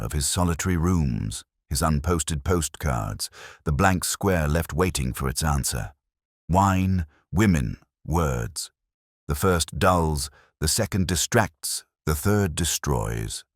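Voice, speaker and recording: Very deep-voiced man narrating a brooding detective story. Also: no room sound, studio quality. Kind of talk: scripted first-person detective story narration.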